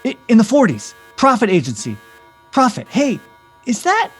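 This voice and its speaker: An actor playing an excitable, nervous tech colleague in an audio drama, flustered and jumpy. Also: medium pitch, normal speed, slightly rough, faint music behind. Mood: panicked.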